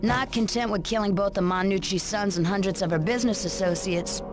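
An actress playing a stern, sardonic police interrogator in a video game, cool and accusing. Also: female voice, medium pitch, fairly quick, over loud music, natural voice. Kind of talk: video game cutscene acting.

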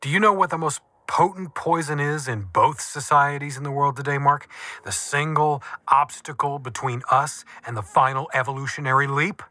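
A stern lecturing official. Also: male voice, on the high side, fairly even intonation, normal speed, bright, forceful tone, dry recording. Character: self-righteous male official defending a harsh group. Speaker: official on a phone call.

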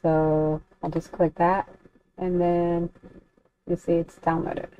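Woman, lowish flat voice, casual tutorial host, dull lo-fi recording. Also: slow pace. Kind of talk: casual software walkthrough.

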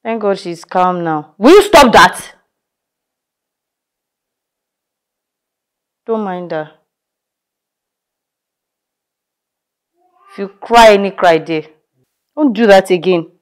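A woman's voice: medium pitch, expressive, lightly acted. An actress with a Nigerian accent playing an overwhelmed young mother who vents, scolds and apologizes in turn. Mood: scolding. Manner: venting, scolding, quick to apologize. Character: overwhelmed young mother, tired and short-tempered. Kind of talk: scripted skit acting.

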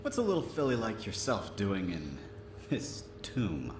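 A man playing a gentle, wistful old stallion ghost in an audio drama, soft, courteous and crooning. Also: lowish voice, wildly swooping pitch, a little slow. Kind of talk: audio drama acting with song. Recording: roomy playback with music underneath.

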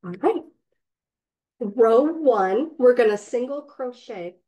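A woman with a slightly raspy, cold-hoarse voice, patiently teaching a crochet class step by step and counting stitches aloud. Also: fairly high pitch, expressive, slow, lo-fi sound. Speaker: crochet instructor. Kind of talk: step-by-step craft tutorial. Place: indoors, online class.

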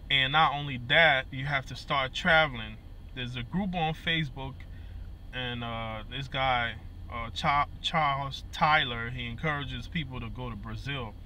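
A man's voice: medium pitch, pushed and bright, a little hiss or hum. A man in his thirties with a Liberian accent, talking earnestly to his phone camera from inside a vehicle, with many fillers and repeated words. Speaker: musician and vlogger. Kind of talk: casual talk to camera. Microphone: phone camera at arm's length.

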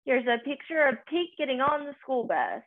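A young adult woman reading a children's picture book aloud in a sing-song, deliberate way, recorded indoors. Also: sits high, sing-song. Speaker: story reader. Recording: phone-like, narrow-band sound.

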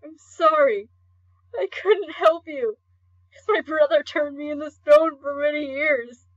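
A woman voicing a gentle, tearful, kindhearted young male skeleton guardian in an earnest, pleading comic dub. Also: high voice, a little slow. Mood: tearful, apologetic. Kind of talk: amateur comic dub acting. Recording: dull lo-fi home recording.

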